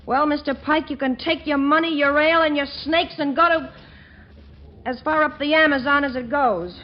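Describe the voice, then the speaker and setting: Actress doing a sassy young con woman from a 40s radio comedy, high voice, muffled old recording. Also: fairly even intonation, normal speed, natural voice. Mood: indignant, bitter. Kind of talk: 1940s radio comedy acting. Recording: dull lo-fi 1940s radio recording.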